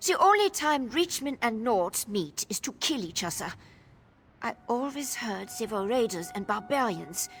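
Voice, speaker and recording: A woman's voice: fairly high pitch, very animated, unhurried, a bit bright, soft background music, exaggerated voice. A woman playing a wary, downtrodden young Nord informant in a video game, telling things in a guarded, world-weary way. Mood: distrustful, anxious. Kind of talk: video game dialogue acting.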